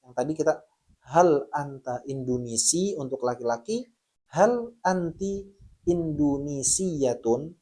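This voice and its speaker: A man with a voice pitched a little above the usual range teaches a beginners' lesson. He is friendly and patient and talks to viewers as friends. He slows down sharply to pronounce each example phrase clearly. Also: expressive, mellow. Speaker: language teacher.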